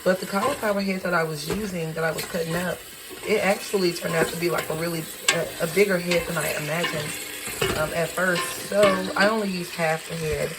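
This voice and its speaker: A hoarse woman who has lost her voice, casually and tiredly talking through a recipe in her home kitchen. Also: fairly even intonation, brisk, heavy background noise. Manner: tired, low-key, chatty with fillers. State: hoarse, lost voice from sinus infection. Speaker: home cook vlogger. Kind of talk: casual cooking demonstration. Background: sizzling and frying in a pan.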